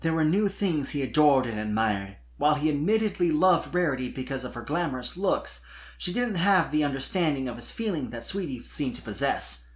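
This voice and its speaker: A narrator reading fan fiction aloud as expressive, scripted storytelling. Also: mid-range voice, a little fast.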